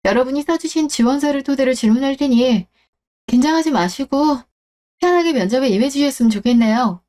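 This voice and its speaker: Poised young woman boss, voiced by a woman in a radio drama: high voice, calm and cutting. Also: a little fast, natural voice. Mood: cool. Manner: cool, composed, pointed. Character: young woman co-CEO interviewing her ex-husband. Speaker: job interviewer. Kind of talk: Korean story radio drama.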